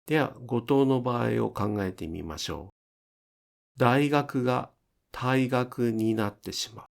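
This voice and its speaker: A man teaching pronunciation in an instructional style, enunciating deliberately and carefully for language learners. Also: mid-range voice, gravelly. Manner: deliberate, careful enunciation with demonstrated example words.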